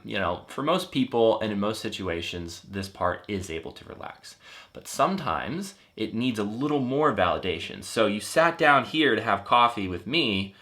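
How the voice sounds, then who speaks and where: A man in his thirties, a life coach, gently and earnestly explaining a therapy method, with frequent fillers and restarts. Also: mid-range voice. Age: 30s. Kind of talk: explanatory teaching talk.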